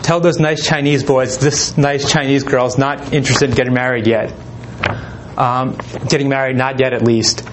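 A man giving an informal talk, reading letters aloud in a relaxed way with frequent pauses and ums. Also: mid-range voice, background noise. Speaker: presenter.

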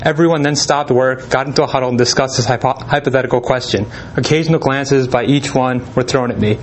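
A man of ordinary pitch gives an informal talk, reading old letters aloud to his listeners. He speaks in a relaxed, unhurried way, and his reading is broken by ums and small restarts. A faint hiss sits behind his voice. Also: low-quality recording. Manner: relaxed, hesitant with frequent ums. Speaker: presenter.

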